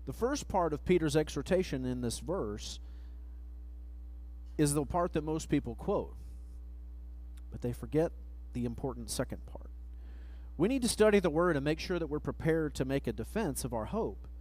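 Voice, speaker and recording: A middle-aged man preaching a sermon at a church pulpit mic, reading scripture slowly and letting phrase ends trail off. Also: a little high, animated, a little mellow, poor audio. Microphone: pulpit microphone, far camera.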